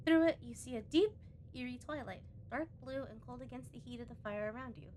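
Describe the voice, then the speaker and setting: A woman's voice: on the high side, a little fast, darkish tone, a little hiss or hum. A woman game streamer chatting casually and reactively, reading on-screen text aloud and muttering asides to herself.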